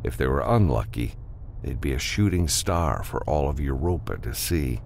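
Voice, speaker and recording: A man's voice: extremely low pitch, expressive, normal speed, creaky, darkish tone, a little hiss or hum. A man reading scripted fiction aloud as a dramatic audiobook narrator.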